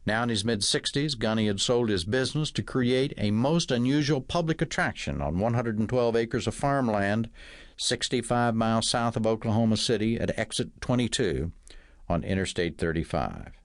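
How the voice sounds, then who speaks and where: A man narrates a satirical novel in a fairly low voice. His delivery is measured and scripted, with dry humour. The sound is very dry, with no room around it. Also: animated, dry recording. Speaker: audiobook narrator reading own comic novel. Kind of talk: scripted first-person narration.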